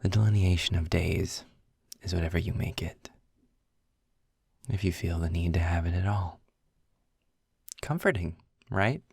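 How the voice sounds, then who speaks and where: A man playing a snarky, weary, well-spoken demon guide in an intimate audio roleplay, with a husky, gravelly voice and a dry, wordy manner. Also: low voice, darkish tone, dry recording, full character voice. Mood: dryly sardonic. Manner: sarcastic, wordy, dry, deliberate. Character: snarky, weary, erudite male demon guide trapped in hell.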